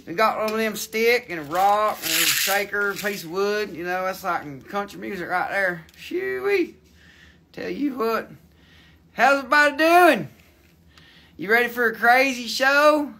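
A man voicing a loud, excitable country hick, drawling and whooping as he runs a live sale. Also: extremely high pitch, normal speed, few pauses, pitched above the performer's own voice, exaggerated voice. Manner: hollering, drawling, goofy. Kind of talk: live-stream sales banter.

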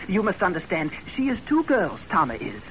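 A young Japanese brother in an old radio drama, fairly high-voiced and very animated. He is playful and breezy, on a noisy 1930s recording. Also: moderate pace, muffled recording, exaggerated voice. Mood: teasing.

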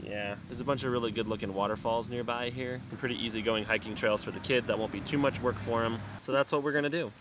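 A relaxed man in his thirties talks casually into his camera while out with his family. His voice sits at an ordinary pitch. Wind and outdoor noise are clearly audible behind him. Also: quick pace, hardly any pauses. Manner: relaxed, chatty, talking to his kids. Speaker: family vlogger. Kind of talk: casual vlog narration. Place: outdoors by a cabin and river.

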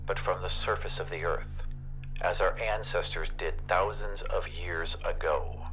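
A man's voice: sits low, fairly even intonation, unhurried, bright, forceful tone, faint music behind, heavily acted. An actor playing a restless, insistent son in a futuristic audio drama, his voice filtered as if over a radio. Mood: earnest longing. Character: restless, queer-tempered adult son in a mechanised future. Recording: dull, band-limited radio-like sound.

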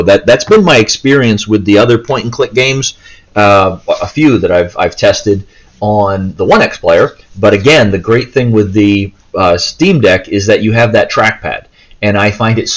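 Male gameplay host: lowish voice, informal, unscripted, meandering and hesitant. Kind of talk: casual gameplay commentary. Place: indoors, in a room while playing a handheld console.

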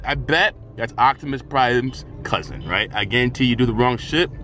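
A man's voice: medium pitch, animated, normal speed. A man in his twenties vlogging from his car on a dashboard camera, joking and swearing in casual banter. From Chicago area. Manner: joking, profane, mock-advertising banter. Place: parked car, indoors. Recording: clear road and engine noise. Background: car and street noise.

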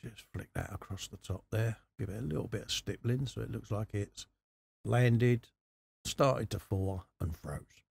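A man's voice: fairly low pitch, a little slow, vocal fry, a little mellow, slightly rough. A middle-aged man, chatting informally and unhurriedly through a hands-on hobby tutorial. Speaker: host of a scale model workshop channel. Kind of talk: casual hobby tutorial commentary while working. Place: indoor hobby workbench.

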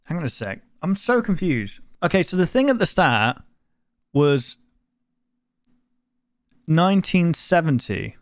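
A man's voice: on the high side, big pitch swings, measured pace, long pauses, no room sound. A man in his twenties giving casual reaction commentary and reading captions aloud into a close desk microphone. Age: 20s. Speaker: reaction video host. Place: small home room, indoors. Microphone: desk microphone close to mouth, headset worn.

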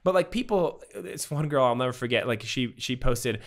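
A man in his thirties, a podcast guest, telling a personal story in casual, animated talk full of fillers and acted-out quotes, on a studio mic at home. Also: medium pitch, moderate pace. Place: home room with bookshelves. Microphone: studio mic with pop filter, headphones.

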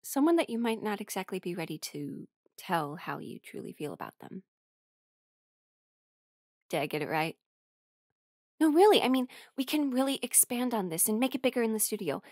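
Young female musician, friendly and playful, halting conversational delivery for intimate audio roleplay, dry sound played by a woman. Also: medium pitch, animated, a little mellow, no room sound. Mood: thoughtful, probing. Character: friendly, teasing young woman musician, tired bandmate on tour.

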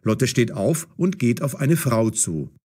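Husky, expressive male storyteller. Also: medium pitch, takes it slowly. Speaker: narrator. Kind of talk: scripted audio story narration.

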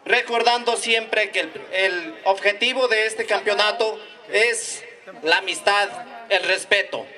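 A man's voice: high voice, steady tone, unhurried, sharp tone. A middle-aged man giving a formal councillor's speech at an outdoor microphone. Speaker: town councillor. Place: outdoor stage. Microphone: microphone on a stand. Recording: distant outdoor PA.

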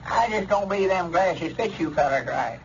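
Old southern grandpa, high voice, folksy n hesitant, skeptical, faint hiss old radio comedy. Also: male voice, animated, moderate pace. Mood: folksy amusement. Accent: rural Southern US. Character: plain-spoken, skeptical old country grandpappy. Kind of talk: 1930s rural comedy radio acting. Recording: muffled, noisy old radio recording.

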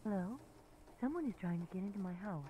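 A woman's voice: mid-range voice, lively intonation, quick pace, dark tone, husky. A woman voicing a panicky mother making an emergency call in a horror game. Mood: anxious. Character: frightened, panicky mother calling police. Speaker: emergency caller character. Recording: noisy emergency phone call.

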